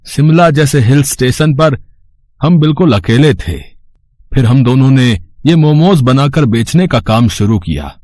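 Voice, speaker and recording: A man voicing a kindly old momo seller in an animated moral story, speaking in a gentle, fatherly way. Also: mid-range voice, no room sound.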